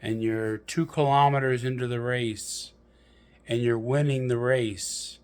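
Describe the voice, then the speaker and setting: A man narrating a guided meditation in a deliberate, measured storytelling manner with pauses. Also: mid-range voice, fairly even intonation, darkish tone.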